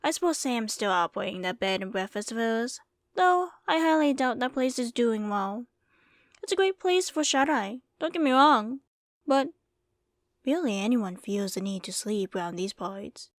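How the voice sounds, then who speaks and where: Woman acting as old frontier saloon keeper, higher voice, folksy rambling storyteller, dry close sound. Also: animated, moderate pace, dry recording. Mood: welcoming, folksy. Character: folksy old Wild West saloon keeper, teasing and welcoming. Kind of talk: ASMR roleplay, storytelling to the listener.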